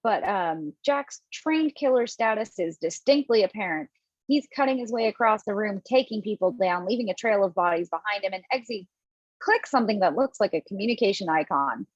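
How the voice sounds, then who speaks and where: A woman's voice: medium pitch, lively intonation, fairly quick, dry recording. A woman reading stage directions in scripted narration at a table read, now and then stumbling over words. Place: remote online table read. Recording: dull, dry lo-fi call audio.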